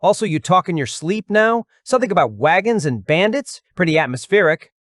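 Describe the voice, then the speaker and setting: A man's voice: high-pitched, lively intonation, fairly quick, fairly bright tone, no echo, studio quality. A man playing a wry, teasing young college roommate in an audiobook. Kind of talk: audiobook character acting.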